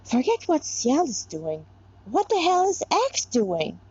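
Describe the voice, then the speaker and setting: A woman's voice: fairly high pitch, wildly swooping pitch, a little slow, warm tone, slightly rough, exaggerated voice. Woman voicing a weary female warrior, dull sound. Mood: exasperated, suspicious. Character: weary, devout female warrior brooding over her mission.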